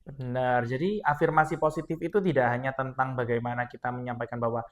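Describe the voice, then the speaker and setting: A man's voice: mid-range voice, fairly even intonation, fast talker. Man from madura, thirties, encouraging, talks straight to listeners, casual podcast guest. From Madura, Indonesia. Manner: earnest, encouraging, speaks directly to listeners. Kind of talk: casual motivational chat.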